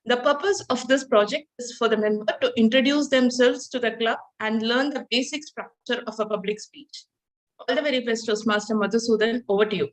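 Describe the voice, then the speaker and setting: A woman with a slightly high voice gives a polite, formal introduction at a club meeting. She speaks over a video call in an even, measured way. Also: low-quality recording. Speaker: speech evaluator.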